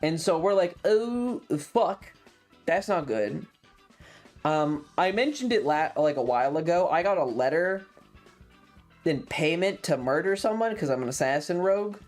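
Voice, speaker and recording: A mid-pitched streamer of androgynous voice chats casually, swearing freely and retelling things with sardonic, acted-out dialogue. Video game music plays behind the voice. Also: animated, measured pace, a little mellow. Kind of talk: casual storytelling to stream chat.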